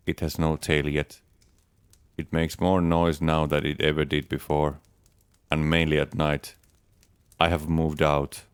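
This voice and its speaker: A man giving a measured, deadpan first-person reading of a comic diary, speaking as its writer. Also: rumbling low, clean tone, no echo, clean studio sound. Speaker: audiobook reader. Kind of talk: dramatic reading of a diary as Adam.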